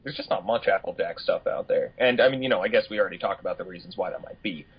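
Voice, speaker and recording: A man co-hosting a podcast in casual chat, with a slightly husky voice. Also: deep voice, fast. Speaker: podcast co-host. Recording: dull lo-fi podcast audio.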